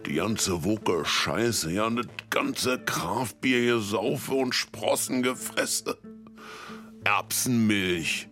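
An actor playing a whiny, self-pitying washed-up media celebrity in a comedy mockumentary, with a plaintive, dramatic delivery. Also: male voice, on the low side, fairly bright tone, soft background music. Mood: bitter, wallowing. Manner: slow, plaintive, dramatic, sulky.

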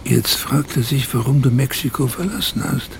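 A man's voice: medium pitch, moderate pace, creaky, a little hiss or hum, close to an everyday voice. An actor playing a sly, mocking inner voice that needles a woman with sardonic, insinuating commentary in a radio drama. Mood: dry, knowing.

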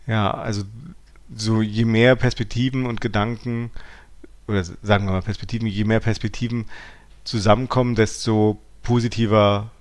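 Guy podcast host, lowish voice, probing and chatty, very dry sound. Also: little pitch movement, moderate pace, no room sound. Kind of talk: conversational discussion.

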